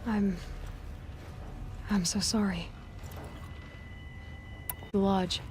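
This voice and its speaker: An earnest, direct young huntress. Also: female voice, medium pitch, steady tone, extremely slow, darkish tone, slight background noise. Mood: sympathetic. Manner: direct, questioning. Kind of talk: naturalistic video game acting. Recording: music behind.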